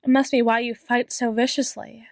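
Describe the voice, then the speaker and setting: Woman acting a possessive, theatrical female doctor, high voice. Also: flat delivery, no room sound, clean studio sound, natural voice. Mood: quiet menace. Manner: slow, deliberate, theatrical, with drawn-out repeated words. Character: possessive, obsessive female doctor, menacingly tender captor. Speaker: audio roleplay performer. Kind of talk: ASMR roleplay, dramatic monologue.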